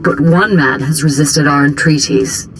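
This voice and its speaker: A woman playing a smooth, ambitious imperial diplomatic operative in a video game, speaking in formal, polished, deferential phrases. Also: low-pitched, steady tone, measured pace, soft background music, poor audio. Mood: formal, persuasive. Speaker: imperial special operations chief. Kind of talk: dramatic video game dialogue.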